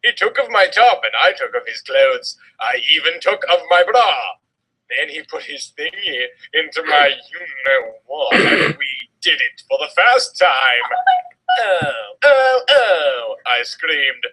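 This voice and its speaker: A man's voice: on the high side, sing-song, slow, sharp tone, slight background noise, poor audio. A man giving a comic dramatic reading of fan fiction in a theatrical, exaggerated manner.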